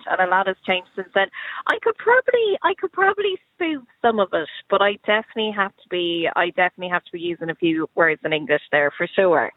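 An Irish woman in her thirties or forties, talking with a Kerry accent. She chats as a guest in a lively, animated way and often breaks off and restarts her sentences. Her voice comes through a tinny phone line with no room sound at all. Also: medium pitch, no echo. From Ireland. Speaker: guest comedian. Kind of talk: casual chat. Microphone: phone call.

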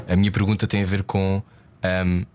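A man with a European Portuguese accent and a distinctly low voice conducts a conversational interview. He feels his way through his questions thoughtfully and tentatively, switching briefly into English phrases along the way. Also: completely flat delivery, moderate pace, darkish tone, clear, resonant tone. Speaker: interviewer, host.

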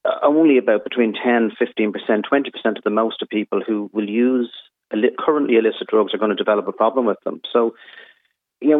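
A man, a doctor, explaining calmly on a radio phone-in. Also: lowish voice, no echo. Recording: tinny phone line.

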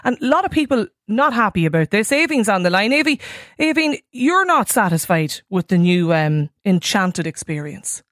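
A woman's voice: mid-range voice, sing-song, moderate pace, a bit bright, no echo, studio quality. A woman with an Irish accent hosting a radio phone-in, chatting in a wry, conversational way and prompting callers with questions. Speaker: radio talk show host.

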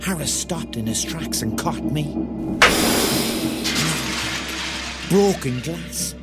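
A man's voice: on the high side, animated, a little slow. An actor playing a Cork man in his thirties, narrating a radio drama in a wry, colloquial way with a Cork Irish accent. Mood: tense storytelling. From Cork, Ireland. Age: 30s. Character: thirty-year-old Cork man, wry, dreamy storyteller. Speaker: narrator and lead character. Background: music.

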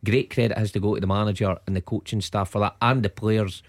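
A man's voice: on the low side, steady tone, fast. Upbeat Scottish man talking football. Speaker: football pundit. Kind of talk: sports radio punditry.

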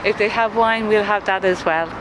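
A woman's voice: medium pitch, normal speed. A woman from a walking group, speaking in a cheerful, upbeat way. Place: city street outdoors. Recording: loud traffic noise outdoors.

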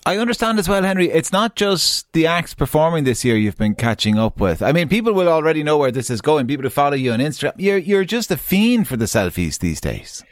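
A man's voice: on the high side, sing-song, a little fast, hardly any pauses. An Irish man hosting a radio show, teasing his reporter in a link-up. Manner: wry and teasing. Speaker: studio radio host.

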